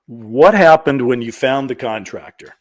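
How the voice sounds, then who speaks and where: A man's voice: mid-range voice, lively intonation, measured pace, no room sound. A man co-hosting a show, chatting informally and reading interview questions aloud from a script. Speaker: co-host of a show.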